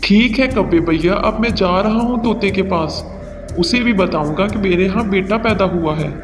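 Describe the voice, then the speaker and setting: A man's voice: high-pitched, normal speed, lightly acted. A man voicing a harsh, domineering father bird in a moral-story cartoon, with a scolding, boastful way of talking. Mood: elated, boastful. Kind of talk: Hindi cartoon voice acting. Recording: reverberant hall sound over music.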